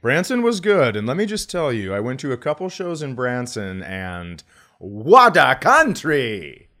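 A man's voice: medium pitch, wildly swooping pitch, a little slow, pushed and bright. A man hosting a podcast in casual comedic chat, sarcastic and given to swearing. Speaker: podcast host.

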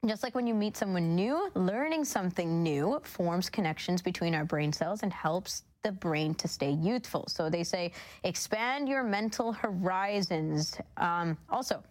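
A woman's voice: on the low side, lively intonation, a little slow. A woman co-hosting a radio show, explaining things in a casual, conversational way. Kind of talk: casual explainer of a lifestyle article.

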